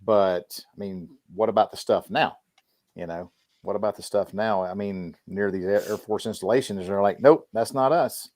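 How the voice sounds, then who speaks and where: Male podcast panelist, low pitch, dry close sound, informal and a little salty in casual group talk. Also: moderate pace, a little mellow, dry recording. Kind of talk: casual group chat on a livestream.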